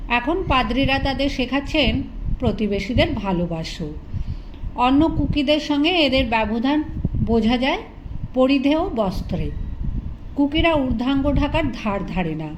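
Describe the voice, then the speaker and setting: A woman reading literary fiction aloud as an audiobook narrator, with measured, deliberate phrasing and pauses. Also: sits high. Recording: muffled, with faint background noise.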